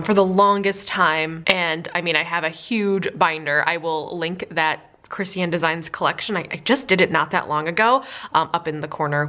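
A woman's voice: mid-range voice, a bit bright. A young woman with a slightly stuffed-up voice talks bubbly and chatty, full of likes and ums. She sits at a desk indoors under an overhead camera, testing pens in a casual planner vlog. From Minnesota, USA. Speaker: planner sticker vlogger. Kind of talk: casual chat.

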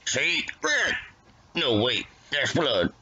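A man's voice: mid-range voice, huge pitch swings, very slow, exaggerated voice. A man voicing a bossy, overconfident soldier with blunt delivery in an amateur video game dub. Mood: smugly certain. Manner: blunt, commanding. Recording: very noisy amateur home recording.

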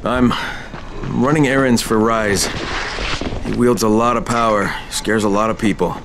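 An actor playing a blunt, driven survivor running errands in a video game cutscene. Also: male voice, fairly low pitch, fairly even intonation, unhurried, noisy background. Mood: defensive, determined. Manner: blunt, sweary. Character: gruff, driven undercover runner hero, adult man.

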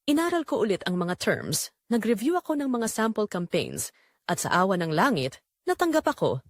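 A woman's voice: mid-range voice, huge pitch swings, moderate pace, no echo, studio quality. A young woman with a Filipino accent narrating a scripted romantic drama in the first person, earnest and reflective.

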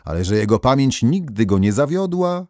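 A man narrating a folk tale as a storyteller, in an expressive, theatrical manner. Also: medium pitch, moderate pace, no echo, studio quality.

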